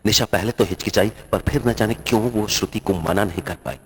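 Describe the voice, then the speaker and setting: A man's voice: on the low side, brisk. A man giving scripted narration for a horror story.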